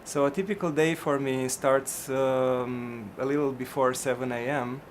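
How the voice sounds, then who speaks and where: A middle-aged physician from Romania, answering interview questions in a hesitant way, with many ums and restarts. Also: male voice, mid-range voice, fairly even intonation, unhurried, slight background noise.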